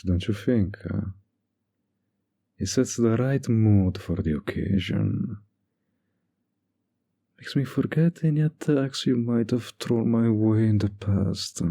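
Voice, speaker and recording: A man playing a suave, manipulative villain in a flirty roleplay audio, with a breathy, gravelly voice and a slow, teasingly polite, condescending manner. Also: sits low, dark tone, no echo, clean studio sound, heavily acted. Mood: silky, inviting, then teasing, smug.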